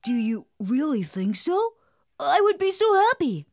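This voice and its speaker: Woman voicing a mellow, lively naive scarecrow. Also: high-pitched, a little slow, dry recording, clean studio sound, exaggerated voice. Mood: hopeful. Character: naive, friendly, wistful straw scarecrow. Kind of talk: children's cartoon acting.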